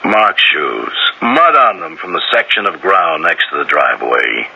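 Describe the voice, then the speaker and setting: Deep, gravelly, relentless police sergeant. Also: male voice, big pitch swings, a little slow, pushed and bright, highs cut off, heavily acted. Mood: relentless, accusing. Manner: insistent, theatrical, dramatically accusing. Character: shrewd, relentless male police sergeant coaxing a confession. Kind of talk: 1970s radio mystery drama acting. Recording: noisy vintage radio recording.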